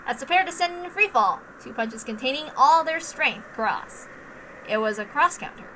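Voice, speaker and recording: A woman reading dramatic scripted narration in a measured, deliberate manner. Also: high-pitched, lively intonation, sharp tone, a little hiss or hum, low-quality recording. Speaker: narrator, reader.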